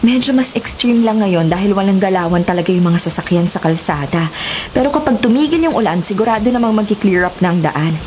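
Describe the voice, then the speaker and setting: An actress plays a young Filipina travel vlogger in a radio drama. She is matter-of-fact and determined, with dry banter at a medium pitch. A faint rainy hiss sits behind her. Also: expressive, brisk, a little mellow, lightly acted. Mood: resigned, practical. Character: determined, wry 29-year-old woman travel vlogger.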